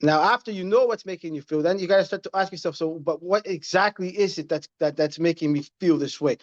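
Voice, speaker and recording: A man's voice: on the high side, quick pace, muffled recording. A man in his thirties, a guest in a casual interview, talking earnestly and repeating his points like a motivational speaker. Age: 30s.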